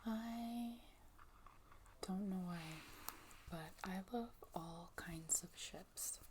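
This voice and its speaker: A woman whispering gently in a casual ASMR show and tell, soft-spoken and breathy, close to the microphone. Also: mid-range voice, drawn-out words, background noise.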